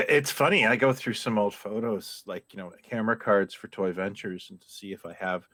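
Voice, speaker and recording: Low, bright-toned Canadian man. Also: dry recording. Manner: halting, self-deprecating, many restarts. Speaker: toy collector and writer. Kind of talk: casual panel chat.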